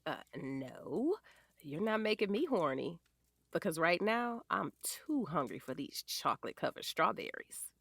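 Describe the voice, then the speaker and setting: Lively, sassy, doting mother played by an actress. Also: on the low side, a little slow, gravelly, dry recording, full character voice. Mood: teasing, firm. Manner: sassy, teasing. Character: playful, firm, doting wife and mother of forty-one. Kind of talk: naturalistic audio drama acting.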